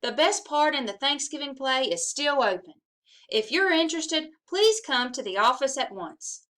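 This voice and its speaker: A woman's voice: sits high, expressive, normal speed, fairly bright tone, voice pushed up. A woman voicing an earnest young schoolboy in a storybook, persuasive and eager, with coaxing emphasis. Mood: announcing.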